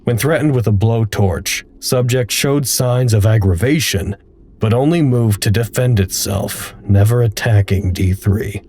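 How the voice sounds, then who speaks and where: An actor playing a detached research log narrator, reading formal reports in a precise, impersonal way for an audio drama. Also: male voice, on the low side, a little slow, a little mellow. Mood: flat clinical.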